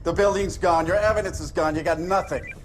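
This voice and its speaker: A man acts out a sceptical interrogator in a military game, pitched on the high side. He is cynical and hostile, pressing and needling, with background noise around him. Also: steady tone, fairly quick, lightly acted. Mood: accusing. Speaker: actor in a military video game. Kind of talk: dramatic video game cutscene acting. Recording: background noise, room ambience.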